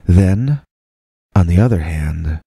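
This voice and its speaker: A man with a very low, dark voice tells a scary story in the first person. He reads slowly and deliberately, leaving dramatic pauses between short phrases. The recording is close and very dry. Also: lively intonation, gravelly, breathy edge, no echo, faint music behind. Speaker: storyteller, host reading listener stories as a Bigfoot persona.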